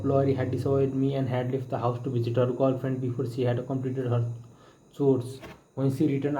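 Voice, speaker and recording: A man's voice: medium pitch, dark tone, a little hiss or hum. A man reading a book aloud, stumbling and restarting on some words. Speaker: book reader. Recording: roomy echo with clear background ambience.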